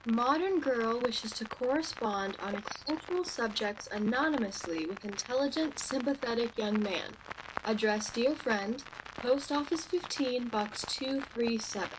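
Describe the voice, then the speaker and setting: Woman playing a high-voiced, brisk shop worker, noisy recording. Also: lively intonation. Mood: curious. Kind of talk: radio play acting. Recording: noisy, with room ambience.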